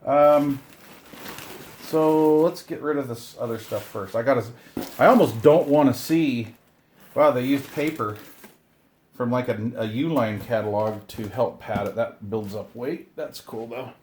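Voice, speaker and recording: A man's voice: medium pitch, measured pace, darkish tone, slight background noise. Middle-aged male host for casual unboxing videos; mid-range voice, rambling, chatty delivery with self-deprecating grumbles. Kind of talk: casual unboxing chat to camera.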